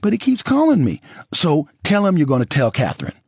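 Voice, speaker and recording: Tearful pleading woman, voiced by a male reader, husky tone, lively swings. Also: fairly quick, no echo, clean studio sound, full character voice. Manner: high, teary, apologetic. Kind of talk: audiobook character voice.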